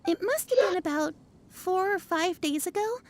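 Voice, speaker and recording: A woman's voice: very high voice, lively intonation, normal speed, noisy background. An actress playing a helpful woman in a game, recalling what she saw in a chatty, thoughtful way. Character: helpful roadside woman recounting sightings. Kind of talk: game voice acting. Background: game music.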